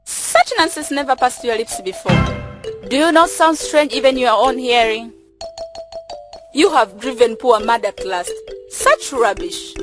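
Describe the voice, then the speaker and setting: A woman plays a chief's senior wife in a stage drama. Her voice is fairly high and declamatory, coaxing as she goes, with loud music underneath. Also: expressive, measured pace. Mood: scolding. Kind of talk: student stage-play dramatization.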